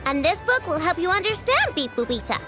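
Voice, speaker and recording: A cheeky young genius mechanic girl from a video game, boasts in a very high, lively voice. The manner is casual and brash, and music plays clearly behind. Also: brisk. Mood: confident, brisk.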